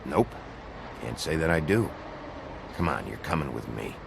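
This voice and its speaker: An actor playing a gruff, weary wolf sheriff in a noir video game, terse and hard-boiled, with a gravelly voice. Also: male voice, sing-song, normal speed, background noise, heavily acted. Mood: weary, dry. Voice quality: deep, gravelly. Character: gruff, weary fairy-tale sheriff, the Big Bad Wolf.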